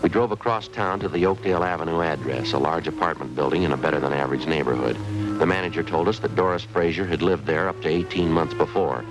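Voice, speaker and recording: An actor playing a police detective who narrates in a clipped, matter-of-fact way in a 1950s radio drama. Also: male voice, a bass voice, fast talker, poor audio. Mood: flat. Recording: old radio broadcast with music bed.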